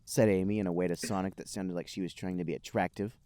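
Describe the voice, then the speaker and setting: A man's voice: low voice, steady tone, fast talker, very noisy. A man hosting a comedy podcast, reading fan fiction aloud with mock-dramatic storytelling and casual chat.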